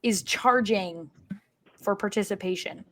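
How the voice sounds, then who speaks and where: A woman's voice: medium pitch, measured pace, fairly bright tone. A woman co-hosting a casual sports podcast with a wry, teasing way of talking. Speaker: podcast co-host.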